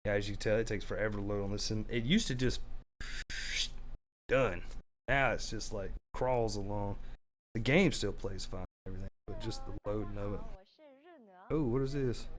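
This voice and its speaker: A man hosting a gaming channel, chatting casually through a game tutorial, rambling with many fillers and sounding slightly hoarse. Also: on the low side, normal speed, long pauses, slight background noise.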